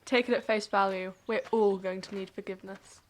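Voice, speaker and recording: A woman's voice: mid-range voice, steady tone, fairly quick, noisy background, natural voice. A woman acting a frank, forgiving young friend in a wartime audio play. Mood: pointed, calm.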